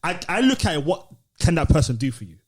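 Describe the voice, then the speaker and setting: A man's voice: fairly high pitch, very animated, quick pace, darkish tone, husky. A man with a London accent co-hosting a podcast in a casual, slangy debate style. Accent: British, London. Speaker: podcast co-host.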